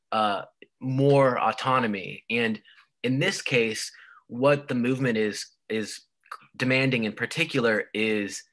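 A man's voice: lowish voice, a bit bright. A man giving a university lecture over slides, explaining at length and often restarting with repeated words. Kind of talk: recorded online lecture.

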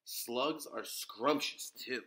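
Bright-voiced man reading a storybook slowly. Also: medium pitch, slight background noise. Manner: deliberate, dramatic emphasis with occasional whispers and exclamations. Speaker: storyteller reading a picture book aloud for preschoolers. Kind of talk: expressive read-aloud of a children's picture book.

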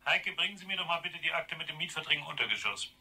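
A man's voice: on the high side, lively intonation, very fast, sharp tone, slightly rough, heavily acted. A man playing an irritable, stressed business manager in an audio crime drama. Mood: curt, irritated. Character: irritable, stressed male business manager, fretting over his mother. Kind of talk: German radio play dialogue.

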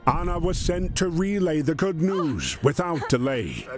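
Slow, solemn male narrator over music. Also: a little high, very animated. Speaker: narrator of a war story game. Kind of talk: scripted narration. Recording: music behind the voice.

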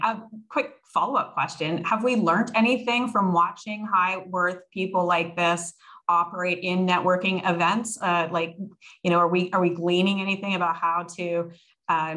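Woman in her forties, low-voiced, upbeat panel moderator on a webcam. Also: steady tone, normal speed, a bit bright, poor audio. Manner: upbeat, asks rambling, loosely built questions. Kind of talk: moderating a panel on a video call. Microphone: video call webcam.

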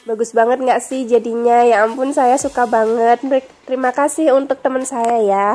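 Young woman, nasal from a cold, bright chatty vlog voice-over, light music under it. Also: fairly high pitch, steady tone, normal speed. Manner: chatty, friendly, addresses viewers directly. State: stuffy nose from a cold. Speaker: vlogger. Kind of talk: casual voice-over narration.

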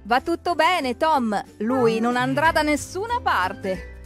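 A woman narrating a preschool cartoon in an expressive, exclamatory way, speaking to the characters. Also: high voice, takes it slowly. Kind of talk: lively scripted narration for preschoolers, talking to the characters. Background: background music.

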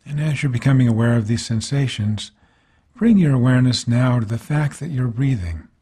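Male meditation guide, medium pitch, soft-grained husky tone, calm and unhurried instructional delivery with frequent pauses. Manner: calm, unhurried, gently instructive, pausing between phrases. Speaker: meditation teacher. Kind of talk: guided body scan meditation narration.

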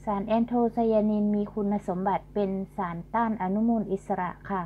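A woman's voice: mid-range voice, fairly even intonation, a little fast. A middle-aged woman hosting a garden channel, politely explaining flowers as she films outdoors with a handheld phone. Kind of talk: casual garden tour narration. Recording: dull lo-fi sound with faint hum.

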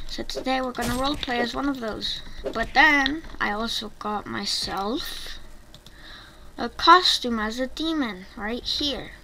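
A female-sounding gaming YouTuber giving casual gameplay commentary in a rambling, hesitant way. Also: medium pitch. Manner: rambling, hesitant, halting delivery. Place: home bedroom, voice over gameplay. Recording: noisy home mic with keyboard clicks.

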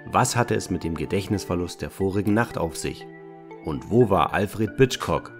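A male narrator of a detective radio play reads scripted lines in a very low voice. Music plays softly behind him. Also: expressive, moderate pace.

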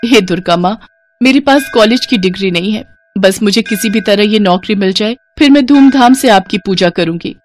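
A woman's voice: mid-range voice, normal speed, a little hiss or hum, lightly acted. Woman doing a poor young orphan girl in a cartoon story, humble, earnest, kinda pleading. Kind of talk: animated moral story character acting.

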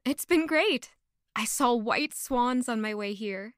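A woman's voice: on the high side, expressive, slow, clear, resonant tone, dry recording, clean studio sound. A woman playing a sweet, curious young noble lady in an audio drama, polite and inquisitive.

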